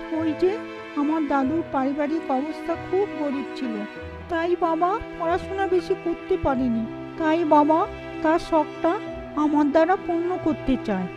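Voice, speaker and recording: A quiet, studious schoolgirl played by a woman in a moral cartoon, earnest and plaintive. Her voice is high, and the recording is slightly dull and lo-fi with music clearly behind it. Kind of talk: children's moral cartoon voice acting.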